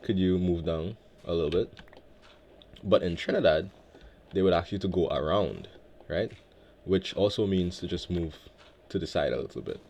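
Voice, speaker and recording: A man from Guyana hosting a relaxed game let's play, talking in a laid-back, reflective way with many filler words. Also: low voice, normal speed. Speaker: Minecraft let's play host. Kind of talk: relaxed gameplay commentary.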